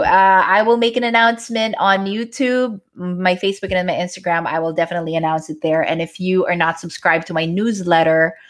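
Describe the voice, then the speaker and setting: A woman in her forties with a Filipino accent, a native Tagalog speaker, chatting casually in a live stream at home and answering viewer comments as a yoga instructor. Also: on the low side. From Philippines (Manila). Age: 40s.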